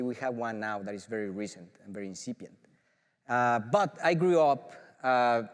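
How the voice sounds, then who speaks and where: Mexican-accented man in a big hall. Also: mid-range voice. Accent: Mexican Spanish. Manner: enthusiastic, filler-heavy with frequent restarts. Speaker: professor. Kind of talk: conference talk. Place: large auditorium.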